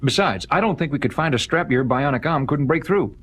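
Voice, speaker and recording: An actor playing an authoritative government official in an audio drama, speaking formally and earnestly. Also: male voice, on the high side, expressive, fairly quick, fairly bright tone, slight background noise. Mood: calm. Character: calm, authoritative government official, adult man.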